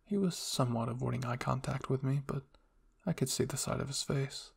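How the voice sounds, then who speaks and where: A man's voice: medium pitch, fairly quick, vocal fry, husky. A man reading a first-person fan fiction story as an ASMR roleplay narrator.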